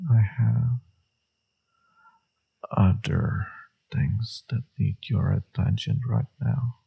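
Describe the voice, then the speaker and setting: Man acting a gravelly, husky, flirtatious villain. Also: steady tone, lo-fi sound, heavily acted. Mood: seductive, pleading. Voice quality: low, husky, gravelly, breathy. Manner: slow, teasing, murmured delivery. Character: flirtatious teasing male villain, secretly tender and caring. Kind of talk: romantic audio roleplay, intimate scripted acting.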